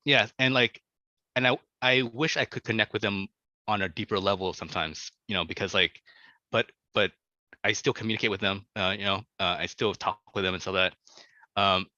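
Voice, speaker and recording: A man's voice: lowish voice, normal speed, bright, forceful tone, no room sound. A man being interviewed as an author on a video call, talking casually, stammering and repeating words as he goes. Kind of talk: casual conversation. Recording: dull lo-fi audio.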